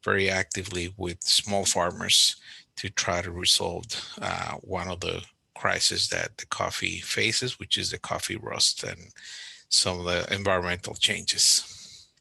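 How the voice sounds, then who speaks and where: A man introducing himself on a webinar in a steady, matter-of-fact way. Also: deep voice, a little slow, gravelly, slightly rough, dry recording. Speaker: project manager.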